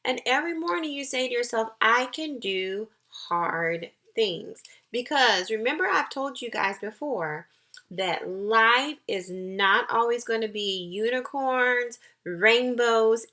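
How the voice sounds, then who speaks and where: A woman school counselor giving an encouraging, nurturing talk to young children, with deliberate, emphatic phrasing and pauses. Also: a little high, sharp tone.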